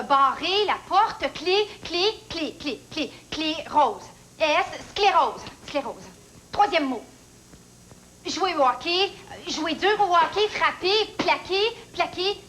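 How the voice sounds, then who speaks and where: A woman's voice: sits high, a bit bright, a little hiss or hum, exaggerated voice, rough recording. A woman playing an eager champion game show contestant, giving clipped, repeated guesses.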